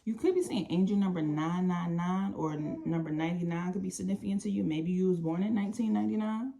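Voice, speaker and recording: A woman giving an intuitive tarot reading, talking in a calm, meandering way and often restarting or repeating phrases. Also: fairly low pitch, fast talker, creaky. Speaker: tarot reader.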